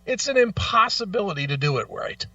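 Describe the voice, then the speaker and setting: A man's voice: high-pitched, very animated, brisk, pushed and bright, no echo, recorded from a distance, dull audio. A man hosting a talk show, speaking casually and bluntly with opinions, swearing freely and sounding exasperated and emphatic.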